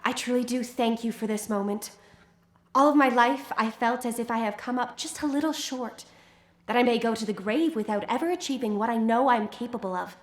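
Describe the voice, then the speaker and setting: A woman's voice: on the high side, a little fast, natural voice. An actress playing a young, ambitious woman inventor in a live radio drama, formally polite and brittle in her poise. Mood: earnest, triumphant. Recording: echoey live stage broadcast.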